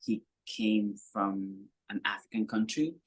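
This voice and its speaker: A young man from Kenya, a musician guest, talking in a relaxed, rambling way with many fillers. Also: on the low side, unhurried, rough recording. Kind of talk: casual interview chat.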